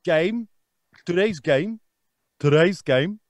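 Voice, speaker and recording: A man voicing an eccentric, rambling female author in a live comedy stream, with a muddled German-American hybrid accent and a halting, repetitive delivery. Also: sits high, lively intonation, no room sound. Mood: quizzical, halting. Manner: halting, rambling, repeats himself.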